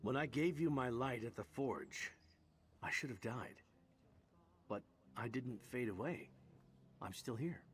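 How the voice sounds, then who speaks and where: An actor playing a wry, roguish heroic knight in a fantasy game, with a conversational delivery. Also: male voice, mid-range voice, measured pace, long pauses, a little hiss or hum, lightly acted. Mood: earnest, uneasy. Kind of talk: scripted game dialogue.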